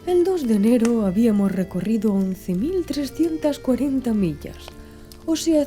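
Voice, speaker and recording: A woman reading scripted literary narration as an audiobook narrator, in a measured, formal way. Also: fairly high pitch, dark tone. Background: music.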